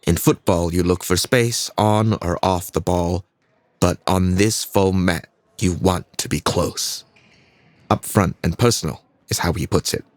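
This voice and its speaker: An actor playing an earnest young fighter narrating his own story in a measured way, with a Singaporean accent. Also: low-pitched, no room sound. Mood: reflective. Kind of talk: scripted first-person audio drama narration.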